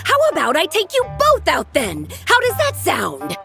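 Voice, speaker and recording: A woman's voice: high-pitched, huge pitch swings, moderate pace, vocal fry, bright, forceful tone, soft background music, heavily acted. A woman playing a brash, boastful archaeologist picking a fight in a video game, arrogant and confrontational. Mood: taunting. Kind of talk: animated video game acting.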